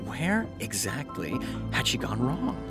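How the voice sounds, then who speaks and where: A man's voice: mid-range voice, very animated, slow, over loud music. A man playing a wry, self-critical writer who reads dramatic prose aloud with brooding delivery and muttered asides in an audio drama. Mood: intense, reflective.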